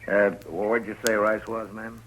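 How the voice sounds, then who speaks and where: A steady, plain-spoken frontier lawman as a male actor plays him, with a very low American voice. He is laconic and firm in the manner of a fifties radio western, on a slightly noisy old recording. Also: moderate pace, close to an everyday voice. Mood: polite, probing. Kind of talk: 1950s radio drama acting.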